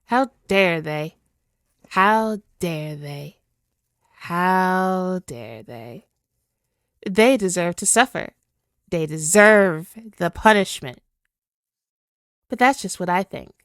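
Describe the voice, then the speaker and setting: A woman's voice: on the low side, expressive, fairly bright tone, no room sound, clean studio sound, full character voice. A woman playing a spirit villain possessing a woman's body, obsessive and tormented, in a theatrical, brooding ASMR roleplay monologue. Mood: seething, then cold, taunting. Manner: slow, theatrical, brooding, dwelling on words.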